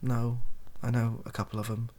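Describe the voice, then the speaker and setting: A British man speaking softly in a rambling, hesitant, improvised opinion monologue for an online video. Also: medium pitch, monotone, normal speed, slight background noise. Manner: soft-spoken, hesitant and rambling.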